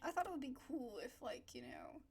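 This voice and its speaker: High-pitched, creaky young woman gamer. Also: wildly swooping pitch, a little fast, distant mic. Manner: informal, playful. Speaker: gaming streamer. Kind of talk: casual playthrough commentary. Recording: loud game music over voice. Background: loud video game music.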